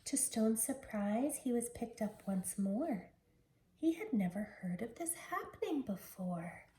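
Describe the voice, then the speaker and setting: A woman, a teacher, reading a children's picture book aloud in an expressive storytelling style. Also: fairly high pitch, unhurried. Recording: roomy, distant mic with background noise.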